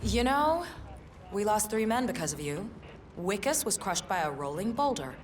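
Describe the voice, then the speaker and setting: An actress playing a proud, sardonic leader of an elite secret society in a video game cutscene, with a theatrical, cutting delivery. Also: female voice, mid-range voice, sing-song, moderate pace, slight background noise, natural voice. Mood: scornful, cold. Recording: echoey hall reverb, loud music behind.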